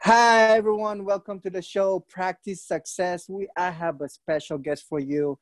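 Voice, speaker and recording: A man of Filipino origin hosting a podcast, friendly and halting, with frequent fillers. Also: high-pitched, steady tone, no echo, clean studio sound. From Philippines. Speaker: podcast host. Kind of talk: casual interview hosting.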